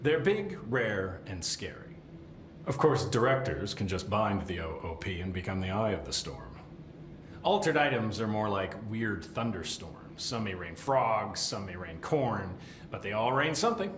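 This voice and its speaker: Video game supervisor: middle-aged, jaded and sardonic, low voice with lively swings. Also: male voice, measured pace, echoey room, a little hiss or hum. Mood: wry lecturing. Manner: sardonic, rambling, dry asides. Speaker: containment sector supervisor. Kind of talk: video game cutscene acting.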